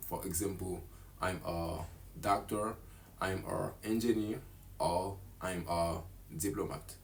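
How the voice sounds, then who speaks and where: A man's voice: deep voice, a little hiss or hum, rough recording. A young man, carefully teaching a beginner English lesson to camera in a small room. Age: young adult. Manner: slow, careful, deliberate delivery. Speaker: English teacher, channel host.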